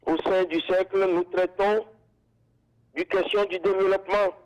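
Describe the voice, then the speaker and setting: A man's voice: sits high, little pitch movement, measured pace, darkish tone. A man from Togo, a panelist, giving a formal, solemn self-introduction over a video call. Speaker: panelist guest. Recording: dull lo-fi call audio.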